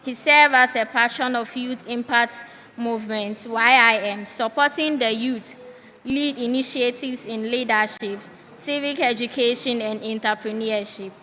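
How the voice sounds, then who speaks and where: A woman's voice: a little high, measured pace, sharp tone. A woman, reading a guest's profile aloud as a clerk in a formal, careful way. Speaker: deputy clerk. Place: large hall at a youth parliament. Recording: reverberant hall with faint background noise.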